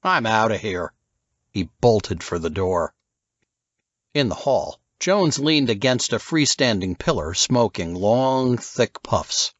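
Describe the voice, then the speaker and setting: A man's voice: fairly low pitch, lively intonation, takes it slowly, no echo, muffled recording. A man narrating scripted fiction as an audiobook reader.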